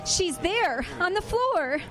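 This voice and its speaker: A woman's voice: extremely high pitch, sing-song, slow, music in the background, full character voice. A woman playing a chatty small-town shopkeeper in a radio drama. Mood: urgent.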